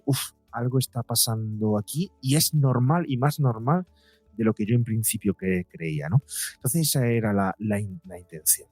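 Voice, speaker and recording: Man, mid-pitched voice, podcast guest, long reflective explanations, very dry recording, faint music behind. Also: darkish tone, no room sound. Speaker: psychologist and podcaster, interview guest. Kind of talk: explanatory interview talk. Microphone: close podcast mic, seated at a table.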